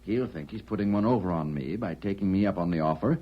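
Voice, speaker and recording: An actor playing a cocky, domineering swindler in an old radio western, curt and sure of himself. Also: male voice, low voice, fast. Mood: smug. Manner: curt, confident, bossy. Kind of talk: 1940s radio western acting. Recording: dull old radio recording.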